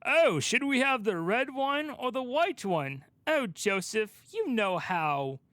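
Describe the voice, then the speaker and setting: A man's voice: very high voice, sing-song, slow pace, sharp tone, dry recording, pitched above the performer's own voice, full character voice. A man voicing a snobby upper-class theatergoer with an affected, pompous manner. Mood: mock-posh. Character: snobby upper-class theatergoer making lame cliche jokes.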